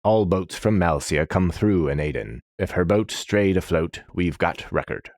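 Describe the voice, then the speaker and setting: A man voicing a scarred, grey-bearded old general in a fantasy story, rumbling, blunt and commanding. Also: animated, a little slow, a little mellow, no room sound, clean studio sound. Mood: gruff, businesslike.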